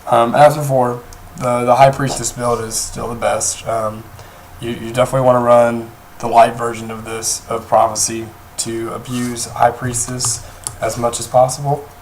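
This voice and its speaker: A young man with a hoarse voice, talking casually through a card game deck, rambling with many pauses and restarts. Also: mid-range voice, flat delivery, slight background noise, low-quality recording. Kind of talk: casual deck profile talk. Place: indoors at a table, off camera.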